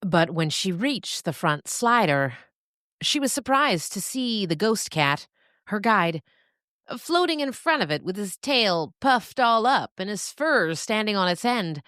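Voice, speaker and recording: A woman narrating a scripted story expressively, with dramatic pauses. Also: medium pitch, very animated, a bit bright, dry recording, clean studio sound.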